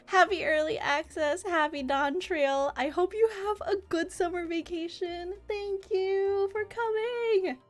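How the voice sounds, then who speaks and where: A woman's voice: extremely high pitch, measured pace, few pauses. A chatty woman streaming a game live, greeting her viewers effusively. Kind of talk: livestream chat and reading game dialogue aloud. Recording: music behind the voice.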